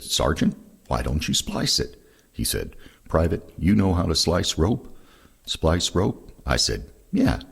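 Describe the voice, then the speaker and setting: A man's voice: rumbling low, vocal fry, slightly rough. A man reading first-person war memoirs aloud in a plain-spoken, folksy storytelling way. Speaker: reader of veterans' oral histories.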